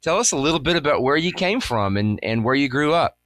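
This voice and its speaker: A man's voice: medium pitch, animated, a little fast, a bit bright, no room sound. A man hosting a podcast, speaking in a friendly, casual way with many ums and uhs. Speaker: podcast host. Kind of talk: podcast interview.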